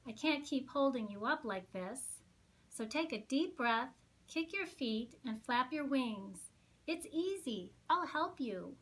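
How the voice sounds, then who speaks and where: A woman's voice: high-pitched, animated, slow pace, a bit bright, breathy edge, exaggerated voice. A woman voicing a friendly, practical female penguin friend in a storybook. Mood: encouraging.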